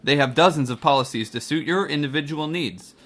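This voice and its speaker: Man playing a bright-voiced radio mystery announcer. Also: on the high side, brisk. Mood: earnest pitch. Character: earnest male radio-mystery announcer pitching life insurance. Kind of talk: old-time radio sponsor read.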